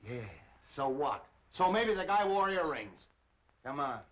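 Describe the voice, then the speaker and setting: An actor playing a brusque, bullying police captain who questions curtly, in a dull-sounding 1930s film. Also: male voice, on the high side, animated, a little slow, a bit bright. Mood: brisk, commanding. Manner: curt, interrogating.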